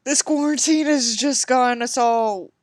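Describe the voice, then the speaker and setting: High-voiced sarcastic woman host. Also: a little slow, no echo. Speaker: video host. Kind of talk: casual, sarcastic book commentary.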